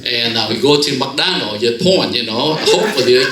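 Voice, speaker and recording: A man's voice: medium pitch, expressive, moderate pace, reverberant, over a noisy crowd. A man in his fifties from Vietnam with a Vietnamese accent, telling his life story at a men's fellowship in broken English, with jokes. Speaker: guest speaker. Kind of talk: personal testimony speech. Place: church fellowship hall.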